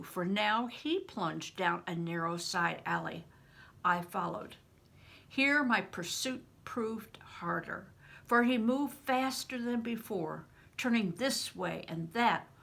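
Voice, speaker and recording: An older woman narrates a book with emphatic, deliberate expression. Her voice is bright but husky, with a touch of gravel in it. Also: medium pitch. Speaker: storybook reader, retired reading teacher. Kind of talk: expressive scripted audiobook narration.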